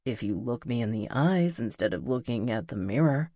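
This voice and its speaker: A woman voicing a cocky, teasing young male rock musician in an audiobook, speaking in an intimate, unhurried way. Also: a bass voice, vocal fry, darkish tone, dry recording, clean studio sound, voice pushed down, heavily acted. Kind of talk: audiobook character dialogue.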